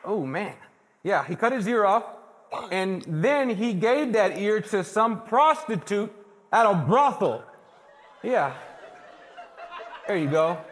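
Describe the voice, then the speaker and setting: High, animated boastful painter in echoey hall man acting. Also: slow pace, long pauses, full character voice. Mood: animated storytelling. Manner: theatrical, conversational, addressing the audience. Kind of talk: stage play monologue.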